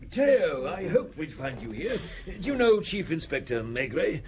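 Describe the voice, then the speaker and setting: A man's voice: a little high, sing-song, normal speed, a little mellow. A fussy, defensive family man. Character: nervous, ingratiating stepson of a rich family. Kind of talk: radio drama acting.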